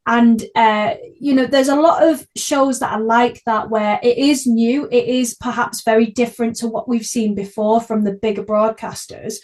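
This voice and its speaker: A woman's voice: fairly high pitch, steady tone, normal speed, hardly any pauses, a little mellow. A woman hosting a podcast in a casual, chatty, effusive conversational way. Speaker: podcast host.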